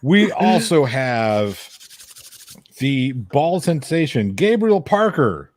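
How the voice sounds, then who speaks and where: A man's voice: medium pitch, big pitch swings, slow, darkish tone, no echo. A man hosting a casual chat, joking and teasing as he goes. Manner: playful, teasing. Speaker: podcast host.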